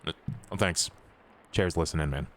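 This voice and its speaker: A man playing a tabletop game, chatting casually and in character, halting and repeating words as he goes. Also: extremely low pitch, a bit bright.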